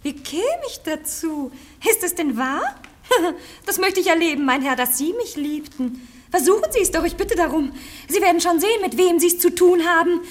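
An actress playing a sly, teasing young married noblewoman with mock indignation in a radio comedy. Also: very high voice. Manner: coquettish, teasing. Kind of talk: German radio play of classic stage comedy.

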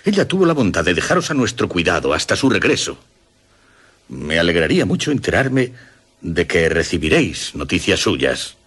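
A middle-aged, gallant father in a radio drama. His voice is low and husky, with broad, emphatic swings of intonation. He sounds formal, old-fashioned and protective. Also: very animated, normal speed, a bit bright, exaggerated voice. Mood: concerned, insistent. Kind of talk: Spanish radio drama acting.

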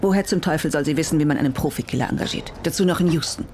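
An actress playing a tough, sceptical prosecutor in a radio drama, speaking brusquely. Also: female voice, sits low, racing, darkish tone, slight background noise, lightly acted.

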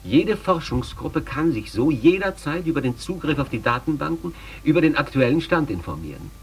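A man's voice: fairly high pitch, very animated, moderate pace. A man giving a formal expert lecture with carefully built sentences.

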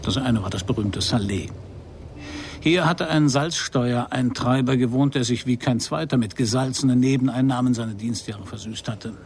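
Guy playing a dry wry detective narrating a radio crime play, gravelly voice, unruffled, bit of noise behind. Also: mid-range voice, moderate pace, a little mellow, lightly acted. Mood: wry, observant. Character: male private detective, wry, unruffled, observant first-person narrator. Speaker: narrator and lead actor.

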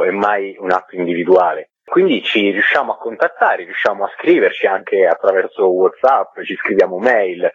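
Low-voiced man on tinny phone. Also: husky, no echo. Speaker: stage actor, radio interview guest. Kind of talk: conversational interview answers. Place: phone call into a radio programme. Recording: tinny, narrow-band telephone line.

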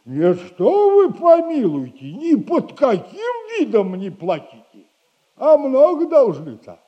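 An actor playing a sly, sardonic old retired soldier who lends money, in a 1960s radio play. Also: male voice, extremely high pitch, wildly swooping pitch, dark tone, highs cut off, heavily acted. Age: older. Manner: slow, teasing, sardonic advice.

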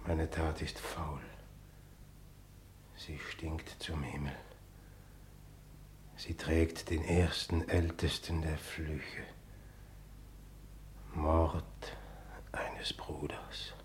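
A man's voice: very deep voice, level tone, drawn-out words, pauses often, roomy sound, in a whisper, exaggerated voice. An actor playing a tormented, commanding usurper king in a radio tragedy, with heavy declamatory delivery. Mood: anguished, remorseful. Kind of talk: classical stage tragedy radio acting.

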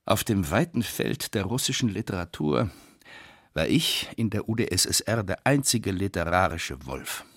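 An actor voicing an embittered, melancholy and defiant writer, reading personal letters in a measured, weighty delivery with a husky voice. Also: male voice, low voice, a bit bright, no room sound, studio quality, heavily acted.

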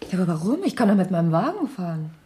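An actress playing a fragile, anxious wife haunted by guilt in a radio crime drama, fretful and reluctant. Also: female voice, fairly low pitch, big pitch swings, racing, warm tone, a little hiss or hum. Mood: reluctant, wistful.

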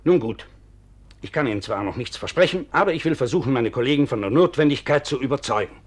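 Male actor as a principled, hesitant academic for period radio play. Measured rhetoric with expressive melody, reverberant room. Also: medium pitch, lightly acted. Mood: troubled. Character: conscientious, hesitant physics professor. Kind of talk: 1950s German radio drama acting. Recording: roomy, echoing 1950s radio production.

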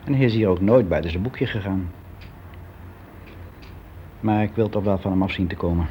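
Male actor as weary older clerk, slightly deep voice, reflective, confiding, halting, radio play, muffled recording. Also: a little hiss or hum, lightly acted. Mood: matter-of-fact. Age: 60s. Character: weary middle-aged male office clerk, confiding, resigned, educated. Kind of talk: naturalistic radio drama monologue.

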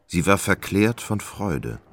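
A man narrating a literary radio play in a measured storytelling manner. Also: low voice, sing-song, gravelly, a bit bright.

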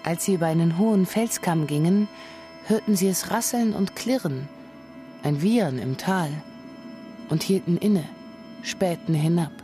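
Dark, mellow radio drama narrator. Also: medium pitch, measured pace, pauses often. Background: music.

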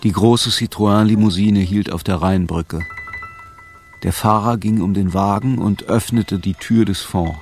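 A man narrating a radio drama as a sombre, measured storyteller. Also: on the low side, steady tone, darkish tone, soft background music.

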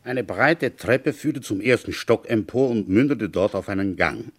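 Deep, husky police commissioner. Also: male voice, animated. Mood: brooding narration. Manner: deliberate, dramatic phrasing, probing. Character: male seasoned village police commissioner, brisk narrator. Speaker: detective narrator. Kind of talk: radio drama narration and dialogue.

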